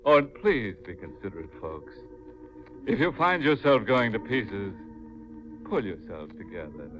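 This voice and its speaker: An actor playing a slyly jovial, macabre horror host on 1950s radio, theatrical and mock-courteous in manner. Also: male voice, fairly low pitch, big pitch swings, unhurried. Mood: macabre glee. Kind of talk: 1950s radio mystery hosting and narration. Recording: muffled 1950s radio recording with music.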